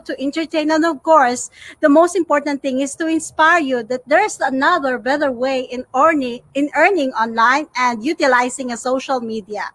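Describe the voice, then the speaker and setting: A woman with a Filipino accent presents a casual livestream as co-host. Her voice is clearly high-pitched, recorded dry with very little room sound. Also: fairly bright tone, no echo. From Philippines.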